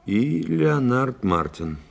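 An actor in a radio play voicing a composed, modest psychiatrist sleuth, courteous, analytical and measured in delivery. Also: male voice, medium pitch, very animated, vocal fry, a little hiss or hum, full character voice.

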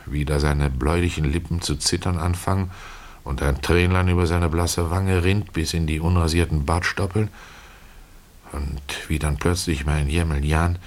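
Casting: poor pious tailor, low-pitched, plain-spoken, folksy and brooding, confiding storyteller for radio drama. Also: male voice. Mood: moved, resigned. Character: humble poor Russian tailor, plain-spoken, pious, retelling his regrets. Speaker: narrator of a literary radio play. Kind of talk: dramatic first-person storytelling.

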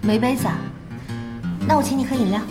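A woman's voice: a little high, brisk, loud music behind the voice, lightly acted. An actress playing a curious young woman in a Chinese radio drama, talking casually and inquisitively. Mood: friendly.

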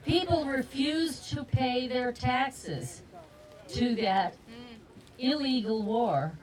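Lively older American woman at a rally. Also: high-pitched, extremely slow, rough recording. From United States. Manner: chatty, laughing between lines. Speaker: rally speaker. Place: outdoor street rally. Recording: outdoor rally PA, crowd behind.

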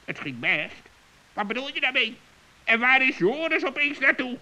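A performer playing a grumpy but loyal male talking bird in a children's radio play, with a sharp, squawky voice and indignant delivery. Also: high voice, very animated, normal speed, full character voice, rough recording.

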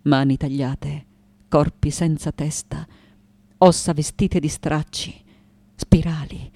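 A woman acting an intense, tormented elderly sculptor in a poetic radio monologue, with deliberate, dramatic phrasing and long pauses. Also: low-pitched, steady tone, warm tone, no echo, heavily acted. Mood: fierce, intense.

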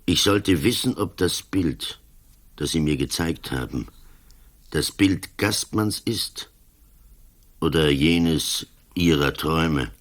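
An actor playing an old police commissioner in a radio play, cool, dry and sardonic in a deliberate way. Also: male voice, rumbling low, full character voice. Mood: sober, insistent. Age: older.

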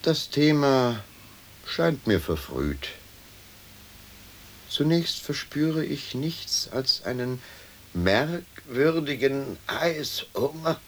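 Slow, formal manservant, faint hiss. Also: male voice, mid-range voice, sing-song. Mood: craving, excited. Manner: formal, measured, drily matter-of-fact. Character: dry, deferential manservant turning into a crow. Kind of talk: stylized German radio play acting.

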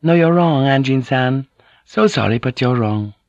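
A man's voice: mid-range voice, expressive, unhurried, a little mellow, no echo, dull audio, voice pushed up. A man voicing a devoted, proud young noblewoman, tender yet fiery, with formal, archaic, earnest speech in an audiobook. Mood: troubled. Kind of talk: audiobook character dialogue.

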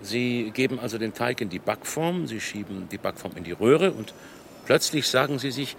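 An actor playing a polite, methodical police inspector who asks probing questions in a German radio crime drama. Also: male voice, mid-range voice, expressive, normal speed, gravelly, a little hiss or hum. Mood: patient, probing.

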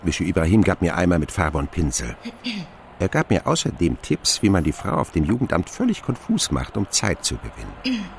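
An actor playing a grown man who recalls his wounded boyhood in a radio play, reflective and dryly ironic, with a gravelly, husky voice. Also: deep voice, huge pitch swings, fairly quick, a little hiss or hum, full character voice. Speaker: narrator.

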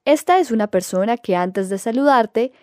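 A woman's voice: on the high side, expressive, moderate pace, warm tone, no room sound, clean studio sound. A woman with a Colombian accent presenting a scripted radio segment.

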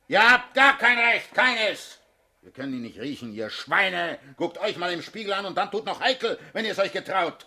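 A man's voice: sits high, expressive, normal speed, sharp tone, exaggerated voice. An actor in a German radio drama playing a shrewd, blunt male party leader who lectures with paternal authority. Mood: furious scolding.

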